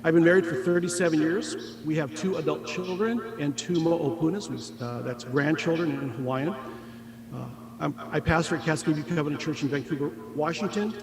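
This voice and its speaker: Older man pastor, friendly and a bit hesitant, higher-pitched, with a loud crowd behind him. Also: moderate pace. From Salem, Oregon, United States. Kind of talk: self-introduction at a workshop.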